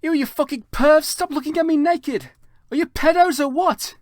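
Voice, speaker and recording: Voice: high voice, moderate pace, a little mellow, no room sound, clean studio sound, voice pushed up, close to an everyday voice. A reader voicing a melodramatic, indignant teenage goth girl in a story. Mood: outraged. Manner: indignant, overdramatic.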